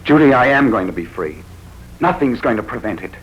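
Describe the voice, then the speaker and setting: An actor playing a smooth-talking, scheming husband in a 1940s radio drama, deliberate and theatrical, charming on the surface and cold underneath. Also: male voice, medium pitch, expressive, lightly acted. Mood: determined. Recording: dull, hissy 1940s radio recording.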